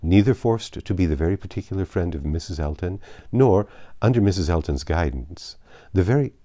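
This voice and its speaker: A man's voice: deep voice, mellow. A man narrating a classic novel aloud as an audiobook reader. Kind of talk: literary narration.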